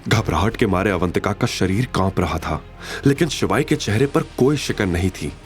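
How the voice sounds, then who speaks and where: A man's voice: fairly low pitch, animated, moderate pace, soft background music. A man narrating a scripted romantic action story in a dramatic storytelling style.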